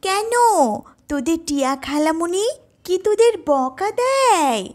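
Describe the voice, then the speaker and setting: Woman as a tiny, extremely high cartoon tailorbird. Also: lively intonation, takes it slowly, full character voice. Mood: caring. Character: small tailorbird mother and her young chicks. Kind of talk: children's cartoon voice acting.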